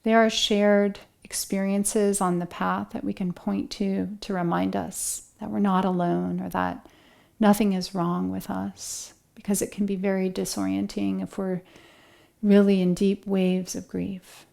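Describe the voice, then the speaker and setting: A woman leading a guided relaxation meditation as an instructor, speaking in a gentle, soothing, unhurried manner with long pauses. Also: medium pitch, little pitch movement, gravelly.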